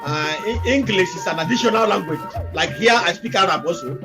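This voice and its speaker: High-voiced man, earnest. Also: a little fast. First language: Igbo. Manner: earnest, repetitive exhortations. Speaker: interview guest. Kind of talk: remote interview. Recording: music behind.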